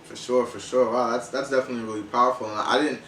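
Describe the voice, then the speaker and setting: A man in his twenties hosts a casual interview podcast with loose, slangy phrasing. His voice sits at an average pitch and sounds set back from the mic, in an echoey room with faint noise. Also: fairly even intonation, quick pace, a bit bright, far from the mic. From Haitian background. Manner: informal, slangy. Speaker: podcast host.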